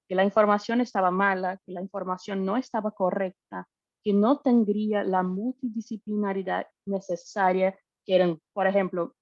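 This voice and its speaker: Woman, gravelly edge, hesitant webinar panelist, muffled dry call audio. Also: medium pitch, darkish tone, dry recording. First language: not Spanish. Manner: hesitant, searching for words. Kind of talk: webinar talk. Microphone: video call.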